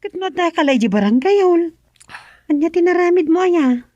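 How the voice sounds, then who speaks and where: A woman's voice: extremely high pitch, animated, normal speed, darkish tone, no room sound, highs cut off. A woman with an Ilocano Filipino accent acting as an inquisitive, nagging young village daughter in a radio serial. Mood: scolding, questioning.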